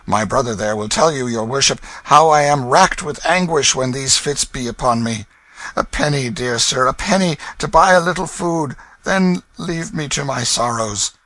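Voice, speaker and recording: A crafty young beggar-thief, voiced by a male narrator sneers and bullies in a raised, bright voice. He shifts between scoffing and whining, all of it dry and close to the mic. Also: mid-range voice, normal speed, dry recording, pitched above the performer's own voice, close to an everyday voice. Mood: fake suffering. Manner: scornful, wheedling, bullying.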